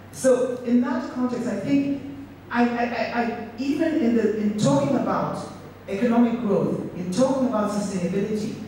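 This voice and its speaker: A woman's voice: on the high side, mellow. A middle-aged woman from Kenya giving a public speech, earnest and reflective, often restarting her words. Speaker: speaker. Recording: echoey hall, speaker far from mic, faint noise.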